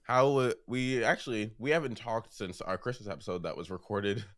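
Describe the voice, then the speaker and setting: A young man co-hosting a podcast in casual chat, slightly hoarse as he starts to lose his voice. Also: fairly low pitch, brisk, dry recording. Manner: relaxed, rambling, laughs often. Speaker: podcast co-host.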